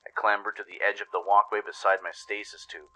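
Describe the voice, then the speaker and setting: A man's voice: on the low side, flat delivery, a little fast, sharp tone. A man narrating a scripted first-person story dramatically, as in an audio drama. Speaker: narrator and voice actor.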